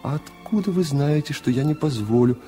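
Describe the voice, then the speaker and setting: A man's voice: on the high side, expressive, normal speed, dark tone, soft background music, pitched above the performer's own voice, lightly acted. Man voicing a teasing young woman, slightly lifted. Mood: teasing, curious. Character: young woman student, teasing, curious and doubtful.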